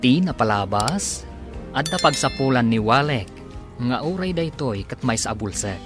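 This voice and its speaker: A male narrator of a radio soap delivers scripted announcements with dramatic phrasing. His voice sits at a middle pitch over faint music. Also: animated, normal speed.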